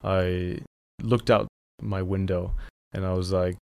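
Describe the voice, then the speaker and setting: A man's voice: deep voice, little pitch movement, takes it slowly, gravelly, a little mellow, dry recording. A young man from Syria with an American accent, whose first language is Armenian, talking thoughtfully in a casual studio conversation and searching for his words. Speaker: podcast guest.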